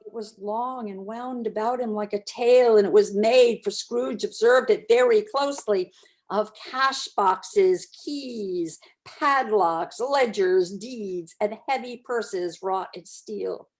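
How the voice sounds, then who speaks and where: A woman reading classic literature aloud as narrator, with expressive, deliberate dramatic storytelling. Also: medium pitch. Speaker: narrator, community reader.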